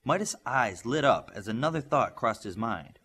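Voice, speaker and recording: A man's voice: mid-range voice, big pitch swings, moderate pace, a bit bright, husky. A man reading a scripted story as an audiobook narrator.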